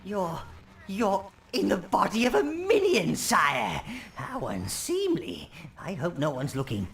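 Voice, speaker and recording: An actor voicing a fawning, scheming old goblin-like advisor to an evil overlord, theatrical and wryly pompous, in a video game. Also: male voice, high-pitched, wildly swooping pitch, slow pace, gravelly, a bit bright, full character voice. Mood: conspiratorial, urging.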